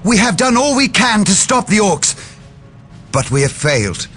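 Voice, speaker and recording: Embattled colonel, formal and proud, highly animated pitch, sci-fi game drama with music behind. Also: male voice, measured pace, exaggerated voice. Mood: ashamed, weary. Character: proud, dutiful, embattled male army colonel. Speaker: video game voice actor.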